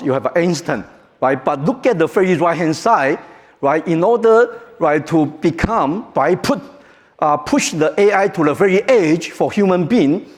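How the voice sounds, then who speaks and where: Taiwanese-accented man in a reverberant hall. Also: a little high. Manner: joking, halting, non-native phrasing. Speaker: keynote speaker. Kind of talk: conference keynote talk. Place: large conference hall.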